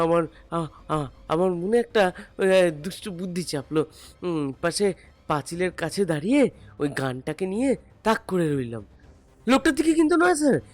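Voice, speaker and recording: A man acting a frightened, apologetic young labourer in an audio detective drama, halting, stammering and pleading with deference. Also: sits high. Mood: frightened, agitated.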